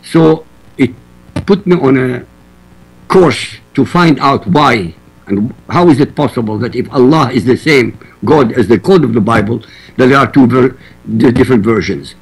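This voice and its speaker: Husky guy from iraq, arabic first language, pushing his point hard in a debate. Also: medium pitch, normal speed, rough recording. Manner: forceful, emphatic quoting. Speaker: guest. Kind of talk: debate argument.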